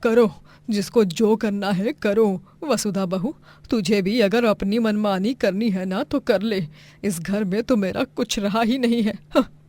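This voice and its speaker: A woman's voice: medium pitch, a little slow, darkish tone, natural voice. A woman voicing a grumpy, scolding older mother-in-law in a story cartoon, sarcastic and full of exclamations. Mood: sulky. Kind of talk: moral story cartoon voice acting.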